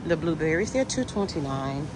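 A woman vlogger filming a grocery store walk-through, reading out each item and its price in a slow, deliberate way. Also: sits low, very animated, noisy background. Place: inside a large supermarket.

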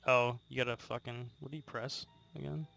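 A man's voice: medium pitch, fairly even intonation, brisk, creaky, pushed and bright, soft background music, low-quality recording. A man streaming a horror game, casually swearing and mocking other players with frequent laughter. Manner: profane, mocking, laughs often. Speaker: gaming channel host. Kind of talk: casual gaming banter.

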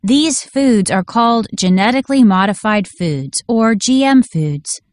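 A woman's voice: fairly high pitch, lively intonation, measured pace, darkish tone, dry recording. Woman reading aloud, dry close sound. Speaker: audiobook narrator. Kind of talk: scripted educational read-aloud.

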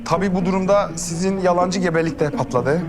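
An actor playing a fretful middle-aged uncle in a TV comedy drama, whiny and pleading. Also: male voice, sits high, fairly quick, close to an everyday voice. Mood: worried. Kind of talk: TV series comedy acting. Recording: music score under dialogue.

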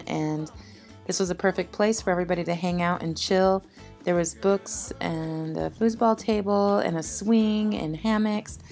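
A woman's voice: on the low side, darkish tone. A woman in her thirties chatting casually in a family travel vlog, upbeat and rambling.

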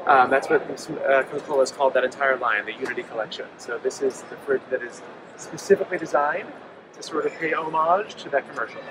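Fast-talking man on a noisy show floor. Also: mid-range voice. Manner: fast, fluent product explanation with frequent ums. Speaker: company representative. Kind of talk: trade show interview. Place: busy indoor convention hall. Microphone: handheld mic held by interviewer.

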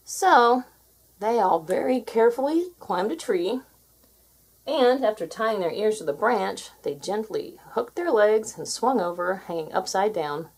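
A woman reads a picture book aloud for children. Her pitch is medium and moves expressively up and down, with a slightly husky tone. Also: lively intonation, a little slow. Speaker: storybook reader.

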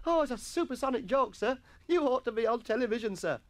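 A boisterous young English schoolboy from a storybook. The voice is very high and shrill, keen and exclaiming, with wild swings in pitch. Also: male voice, animated, fast. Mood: fake hilarity.

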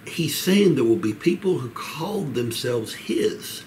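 A man, teaching the Bible as a pastor in a measured, earnest way with frequent pauses, seated in a small room a little way from the mic. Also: mid-range voice, animated, a little mellow. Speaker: pastor leading a Bible study. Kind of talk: Bible teaching, expository sermon. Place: small home office, indoors. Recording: clear background room noise.

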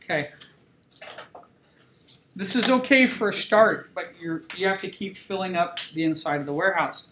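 A man's voice: high voice, expressive, moderate pace, long pauses, fairly bright tone. A man teaching a drawing class, talking casually and checking in with students. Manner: conversational, repeats "okay?" checks with students. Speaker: drawing instructor. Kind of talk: classroom lecture while drawing. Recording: roomy classroom with faint noise.

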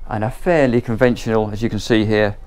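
A man's voice: fairly low pitch, fairly even intonation, brisk, a little mellow. Chatty man touring a boat. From England. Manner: chatty, enthusiastic, loosely ad-libbed. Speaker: boat tour presenter. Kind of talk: walkthrough tour narration. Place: on board a motor boat at a marina, moving between cabins and decks.

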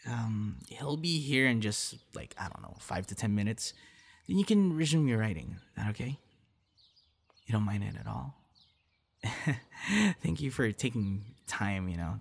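A friendly young man, acted out by a male performer, chats one-on-one in a casual ASMR roleplay. His voice sits on the low side with a crackly, gravelly edge. He is a little shy and hesitant, with a soft teasing streak. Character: friendly, shy young male budding voice actor meeting stranger.

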